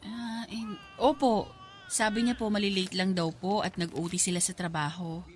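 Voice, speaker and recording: An actress playing a patient, dutiful young daughter in a Tagalog radio drama, speaking politely and hesitantly. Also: female voice, mid-range voice, steady tone, moderate pace, natural voice.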